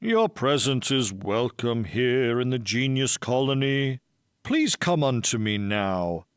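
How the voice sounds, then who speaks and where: A man's voice: mid-range voice, big pitch swings, takes it slowly, clean tone, no echo, studio quality. A man voicing a stately old male colony patriarch with a formal, pompous manner in an audiobook. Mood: solemn, commanding. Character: Grandfather Genius, stately old colony patriarch, solemn and grandiose. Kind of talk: audiobook character voice.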